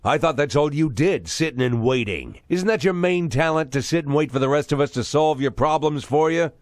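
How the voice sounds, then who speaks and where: Male actor, bitter crooked ex-cop, middle-aged, needling and domineering, dramatic game dialogue, very animated pitch. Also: brisk, no room sound, studio quality, lightly acted. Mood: scornful, angry. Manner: sarcastic, menacing, needling.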